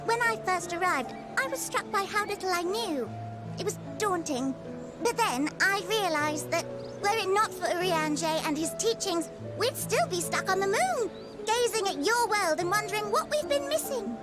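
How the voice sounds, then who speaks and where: Squeaky, very high excitable little creature. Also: female voice, normal speed. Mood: earnest, grateful. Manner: chirpy, exclaiming, eager. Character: small, excitable, chatty moon creature, eager scholar. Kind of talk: scripted video game acting. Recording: loud music behind.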